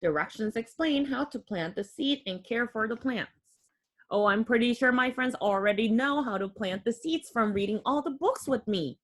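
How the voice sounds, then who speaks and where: A woman's voice: on the high side, moderate pace. A woman reading a picture book aloud to young children like a teacher, expressively, with simple explanatory asides. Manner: expressive, child-directed, with audible gasps and exclamations.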